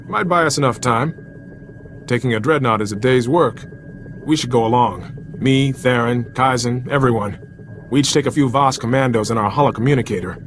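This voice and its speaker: An actor playing a practical, wary soldier in a video game, brisk and matter-of-fact. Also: male voice, mid-range voice, slight background noise, close to an everyday voice. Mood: businesslike. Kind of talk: scripted video game acting.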